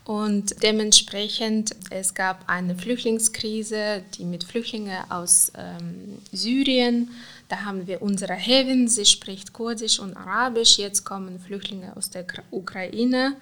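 Bright-voiced woman. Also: medium pitch. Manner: halting, many fillers and restarts, non-native grammar. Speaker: counselor at a women's support center. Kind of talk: casual interview talk.

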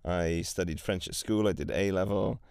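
A young man from Wales, a guest on a podcast, talking in a relaxed and chatty way. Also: low voice, moderate pace, gravelly, clean tone, dry recording, studio quality. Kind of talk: casual conversation.

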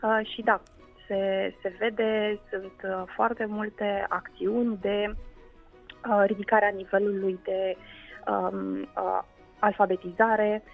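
A woman explains as a guest in a radio interview, often pausing mid-phrase as she searches for words. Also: mid-range voice, level tone, creaky, narrow, tinny audio, soft background music. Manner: hesitant, with frequent pauses mid-phrase. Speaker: guest food culture researcher.

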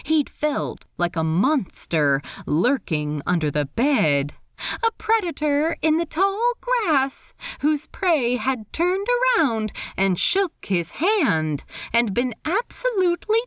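A woman narrating a story aloud as an expressive audiobook reading. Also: sits high, slow pace, dry recording, studio quality. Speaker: fan fiction reader.

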